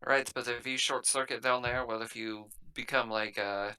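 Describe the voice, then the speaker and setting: Man as brash woman soldier-for-hire, forceful bright tone, casual, skeptical, sci-fi roleplay. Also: medium pitch, steady tone, moderate pace, clean tone. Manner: blunt, casual, questioning. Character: brash, wary female mercenary officer.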